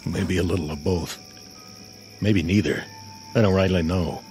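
An actor playing a war-weary, sardonic army sergeant in a radio drama, plainspoken, dry and folksy. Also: male voice, deep voice, unhurried, a little mellow, soft background music. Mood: defiant, bitter.

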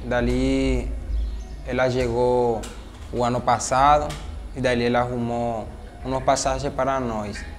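A man of twenty-two from Venezuela, giving a plain, somewhat repetitive documentary interview. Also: mid-range voice.

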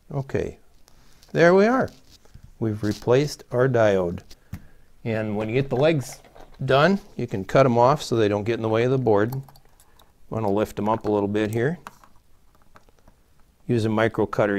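A middle-aged man with a gravelly voice gives a casual, folksy repair tutorial, talking through each step as he works. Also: mid-range voice, darkish tone. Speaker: host of a CB radio repair channel. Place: small plain indoor room, seated at a table.

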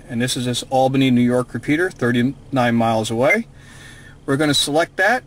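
A man presents a hands-on instructional demonstration as a video host. His voice sits a little high. He is recorded inside a vehicle, with faint fan and road-vehicle noise behind him. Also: fairly bright tone. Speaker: radio hobbyist video host.

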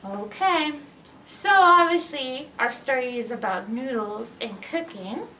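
Slow, very animated high-voiced woman. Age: middle-aged. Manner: animated, exaggerated, teacherly, asking questions. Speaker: children's librarian, story time host. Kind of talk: read-aloud story time for young children. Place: indoors in a library, by bookshelves. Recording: roomy distance from mic, background noise.

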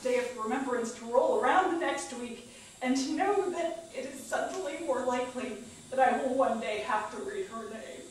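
A woman reading a personal story aloud from a script on a small stage. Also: a little high. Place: small indoor theater stage. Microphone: far camera microphone. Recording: echoey distant stage recording, faint noise.

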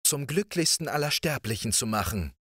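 A man voicing a witty, ugly young prince who pleads emphatically with a high, lively voice. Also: moderate pace, breathy edge, no room sound, studio quality, full character voice. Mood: eager.

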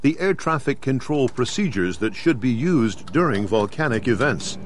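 A man with an American accent gives measured, formal, instructional narration in the style of a scripted training video. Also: medium pitch, big pitch swings. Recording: 1990s videotape with clear background noise.

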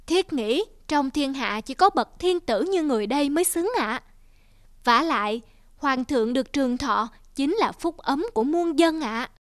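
A woman voicing a scheming, flattering middle-aged male court official in a cartoon. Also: sits high, normal speed, no room sound, clean studio sound. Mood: fawning. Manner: flattering, smooth-tongued, sneering to rivals.

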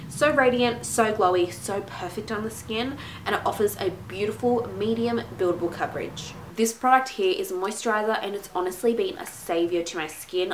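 A woman's voice: mid-range voice, fairly bright tone. Stuffy-nosed aussie girl raving about makeup, says like a lot, echoey little room, bit of hiss. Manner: gushing, chatty, lots of "like" and "my goodness". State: congested from a cold. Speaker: beauty vlogger. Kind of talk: casual product review. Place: small room at home, plain wall behind.

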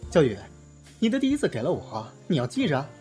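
A man playing a confident, teasing film star with a playful, expressive manner in an audio drama. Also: on the high side, quick pace, faint music behind. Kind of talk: Chinese audio drama acting.

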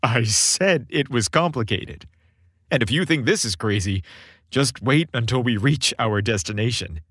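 A man's voice: mid-range voice, lively intonation, unhurried, fairly bright tone, slightly rough, dry recording, studio quality. Man voicing a confident, teasing young boyfriend. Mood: wry. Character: young man, reassuring, mischievous boyfriend, Santa's son.

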